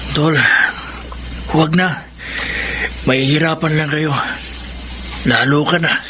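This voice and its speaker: A Filipino man playing a sick, gentle, self-effacing young friend in a radio drama. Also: a little high, steady tone, slow pace, very noisy.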